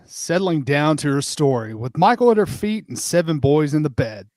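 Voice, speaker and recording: A man with a somewhat high voice narrates a storybook in a group reading. The recording is dry, with little room sound. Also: lively intonation, normal speed, darkish tone, no room sound.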